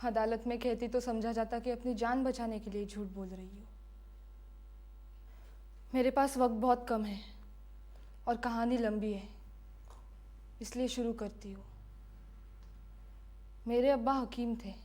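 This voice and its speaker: A woman playing a defiant, bitter condemned prisoner giving her last testimony in a deliberate, impassioned dramatic monologue. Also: on the high side, fairly even intonation, warm tone, close to an everyday voice. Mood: composed, grave. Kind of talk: dramatic film-style monologue.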